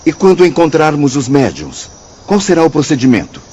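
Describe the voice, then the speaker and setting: An actor playing a polite, inquisitive spirit missionary in a radio drama, courteous in manner. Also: male voice, fairly high pitch, huge pitch swings, fairly quick, warm tone, slightly rough, muffled recording, full character voice. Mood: curious.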